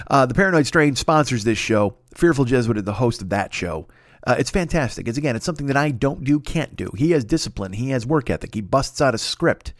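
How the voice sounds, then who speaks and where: A man's voice: mid-range voice, dry recording. A talkative man delivers a comic podcast monologue in a mid-range voice. He wanders off on tangents, swears freely and pokes fun at himself. The sound is dry, with no room to it. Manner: loud-mouthed, talkative, digressive; profane, self-deprecating. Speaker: podcast host. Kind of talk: rambling comic monologue with sponsor plugs.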